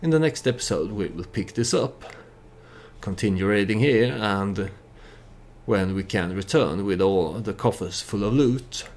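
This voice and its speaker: A man giving casual storytelling commentary over a strategy game, hesitant and halting as he thinks aloud. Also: on the low side, lively intonation, darkish tone. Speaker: let's play YouTuber narrating his strategy game.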